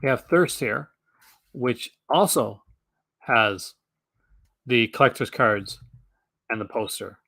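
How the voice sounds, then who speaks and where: Male young adult host, mid-pitched, chatty and rambling, hoarse from a long session. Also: animated, slow pace, long pauses, bright, forceful tone. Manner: rambling, enthusiastic, many fillers and restarts. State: losing his voice. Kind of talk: casual live stream chat.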